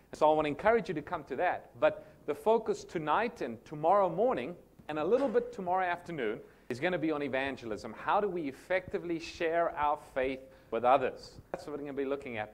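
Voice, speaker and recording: South African man preaching in a church. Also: on the high side. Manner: friendly, joking, conversational. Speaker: guest pastor. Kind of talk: sermon introduction. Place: church hall, indoors. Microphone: handheld microphone.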